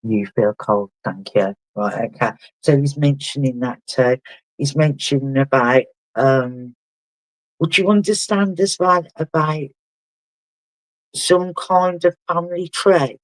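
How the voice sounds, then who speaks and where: Man, spiritual medium, live reading, halting delivery, checks in with the sitter, medium pitch, dry sound. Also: no room sound, poor audio. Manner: halting, relays messages and keeps asking the sitter to confirm.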